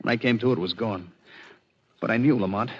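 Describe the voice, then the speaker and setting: A frightened, high-strung young chemist. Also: male voice, fairly quick, slightly rough. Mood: haunted, fearful. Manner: agitated, pleading, haunted. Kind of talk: 1940s radio drama acting. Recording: muffled 1940s radio recording.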